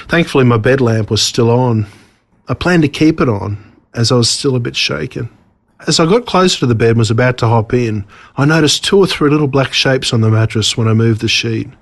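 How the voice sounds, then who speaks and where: An Australian man reads a children's story as a narrator, expressively and with dramatic pauses on key words. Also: mid-range voice. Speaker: author reading own story.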